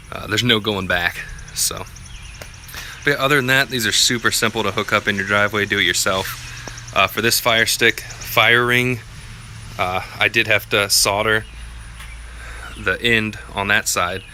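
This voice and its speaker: A man's voice: fairly low pitch, fairly even intonation, unhurried, long pauses, bright, forceful tone, a little hiss or hum. A man giving a casual, unscripted how-to walkthrough in a plainspoken, laid-back way, as a vlogger would. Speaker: vlogger presenting a DIY gear tutorial.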